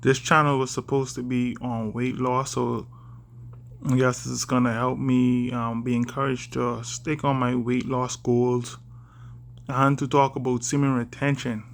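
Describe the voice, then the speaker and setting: A young man from the Bahamas with a Bahamian accent, talking to camera in a halting, careful way with many fillers. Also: mid-range voice, flat delivery, creaky. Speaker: vlogger. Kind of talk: casual talk to camera.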